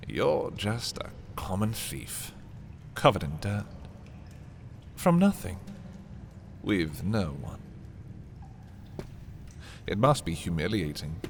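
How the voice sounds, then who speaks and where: An actor playing a haughty, menacing prince taunting a captive thief in a dark fantasy audio roleplay, theatrical and condescending with dramatic pauses. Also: male voice, sits low, background noise, heavily acted. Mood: condescending pity.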